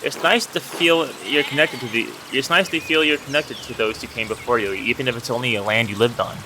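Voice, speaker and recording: A man's voice: medium pitch, brisk, background noise, lightly acted. A man voicing a friendly, humble village miller in a video game, chatting in a folksy way about old legends. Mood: warm, reflective. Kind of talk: reading video game dialogue aloud.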